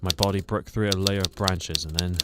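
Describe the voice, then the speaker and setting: Timid English reporter played by a man, deep voice, formal radio-play delivery, heavy background noise. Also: steady tone, brisk, natural voice. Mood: solemn narration. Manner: formal, stammering when flustered. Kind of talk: radio drama narration and acting. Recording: very loud noise and typewriter clatter.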